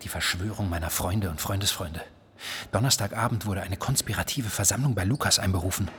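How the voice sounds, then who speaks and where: Very husky, restless male patient. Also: sits low, fairly quick, a bit bright, heavily acted. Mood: restless, suspicious. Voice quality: very husky, breathy, at times whispering. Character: agitated, self-aware, wry male psychiatric patient narrating. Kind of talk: literary radio play monologue.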